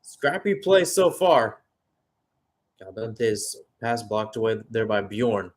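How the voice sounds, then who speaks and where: A man's voice: fairly low pitch, sing-song, takes it slowly. A man giving live radio-style football commentary as the play-by-play caller, conversational and thinking aloud between bursts of calling the action.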